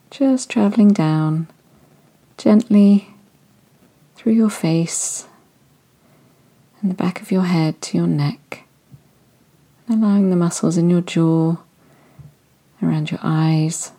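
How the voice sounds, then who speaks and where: A woman guiding a body-scan meditation in a soft, soothing voice that often drifts into whispers. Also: lowish voice, breathy edge. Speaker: meditation guide.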